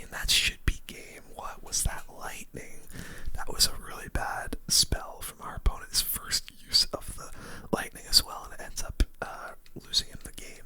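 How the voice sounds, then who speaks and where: This is a gaming host who speaks entirely in a whisper, close to the mic. The sound is bright and crisp on top, with a relaxed delivery suited to ASMR game commentary.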